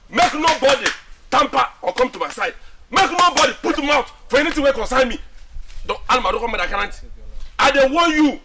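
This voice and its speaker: A man's voice: high-pitched, pushed and bright, slight background noise. A man with a Nigerian accent playing a defensive, scolding young man in a film, speaking emphatically and repeating himself. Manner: repetitive, emphatic, argumentative. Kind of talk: Nigerian film acting in Pidgin dialogue.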